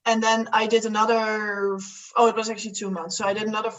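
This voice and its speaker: A woman's voice: medium pitch, little pitch movement, quick pace, fairly bright tone, distant mic, rough recording. Young Dutch woman guest, casual rambling chat, sits a little back from the mic. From Netherlands. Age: young adult. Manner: rambling, many fillers and restarts, laughs often.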